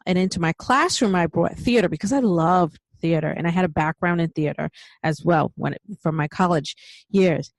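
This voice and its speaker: A middle-aged woman hosting an interview, quick to affirm with soft murmurs and sharing her own story. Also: on the low side, no room sound. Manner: affirming, many soft backchannels. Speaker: host. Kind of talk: casual interview conversation.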